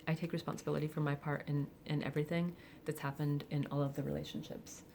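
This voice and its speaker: A woman of forty speaking candidly and reflectively in a personal vlog, with soft laughs and hesitant fillers. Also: low-pitched, little pitch movement, quick pace, vocal fry, slight background noise. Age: 40s. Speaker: vlogger. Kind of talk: personal confessional monologue.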